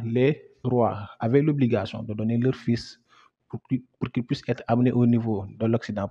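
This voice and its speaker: Young man, from Senegal, museum guide, mellow dark tone, hesitant, dull lo-fi recording. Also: lowish voice. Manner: hesitant, many fillers and repeats. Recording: dull lo-fi sound.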